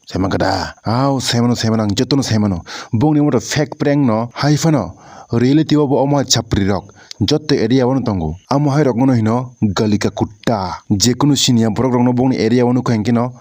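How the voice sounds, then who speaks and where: Male video commentator, mid-pitched, dry close recording; casual, crude, mocking rant. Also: fast, darkish tone, no echo. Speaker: video creator reacting to prank clips.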